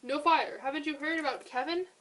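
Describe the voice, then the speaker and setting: A woman voicing an excitable male rhino in a read-aloud storybook, with urgent, high exclamations. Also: fast, a little hiss or hum, close to an everyday voice, low-quality recording. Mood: alarmed.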